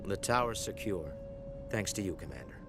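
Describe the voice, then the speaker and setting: A man playing a middle-aged colony leader in a game, weary but resolute, earnest and measured. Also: low-pitched, expressive, creaky. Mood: grave, weary. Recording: loud background music.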